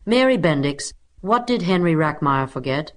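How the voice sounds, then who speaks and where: A woman's voice: deep voice, animated, normal speed, voice pushed up. A woman voicing a small, dapper male substitute teacher in a children's storybook, pompous, professorial and commanding. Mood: brisk approval.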